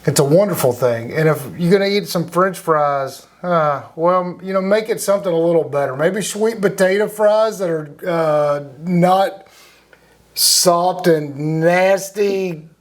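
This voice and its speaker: A man's voice: high-pitched, a little slow. A man hosting a casual conversational interview, talking in a rambling, reflective way. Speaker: interview host.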